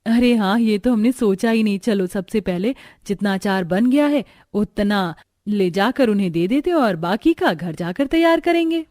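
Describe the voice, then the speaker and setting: A woman voicing hardworking, excitable young village daughters-in-law in a Hindi cartoon story, with an expressive, exclaiming delivery. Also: medium pitch, moderate pace, no echo, studio quality, lightly acted. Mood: concerned.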